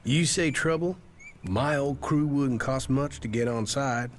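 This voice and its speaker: A man's voice: mid-range voice, measured pace, a little hiss or hum, lightly acted. A man playing a betrayed outlaw in a video game, sardonic and rueful. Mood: bitter, wry. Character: wry, betrayed outlaw rogue.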